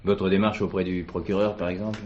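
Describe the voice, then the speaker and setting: An actor playing a courteous, unhurried police commissioner in a theatrical drama, speaking in a measured, polite way. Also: male voice, deep voice, flat delivery, a little mellow, highs cut off, lightly acted. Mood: polite, probing. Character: unhurried, courteous male police commissioner investigating an old case. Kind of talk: French stage or TV play acting.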